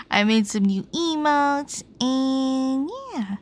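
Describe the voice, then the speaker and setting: A woman's voice: high-pitched, extremely slow. A tired woman livestreamer chatting casually while gaming, informal and rambling, often trailing off. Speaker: live streamer. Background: game music.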